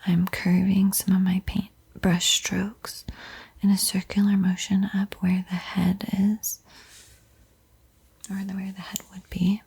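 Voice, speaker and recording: Woman whispering slowly, very breathy ASMR. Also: mid-range voice, monotone. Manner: slow, gentle, encouraging instruction. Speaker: host teaching a beginner painting tutorial. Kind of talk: whispered ASMR art tutorial.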